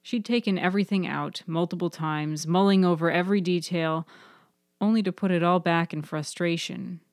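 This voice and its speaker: Lower-pitched woman narrating fiction, dry recording. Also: steady tone, normal speed, dry recording. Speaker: writer-narrator of fiction podcast. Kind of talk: scripted literary narration.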